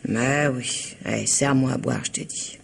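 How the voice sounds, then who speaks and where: An actress playing Eva, a taunting, contemptuous wife in a vintage French radio drama, with a husky, mocking delivery. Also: female voice, a bass voice, normal speed, very noisy, heavily acted.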